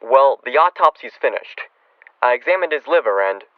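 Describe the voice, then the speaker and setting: A dry, muffled radio western recording of a man acting as a frontier town doctor. He is talkative and folksy, with a clinical, apologetic manner and a darkly flippant streak, at a middling pitch. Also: animated, normal speed, smooth, no echo, close to an everyday voice. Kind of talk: old-time radio western drama acting.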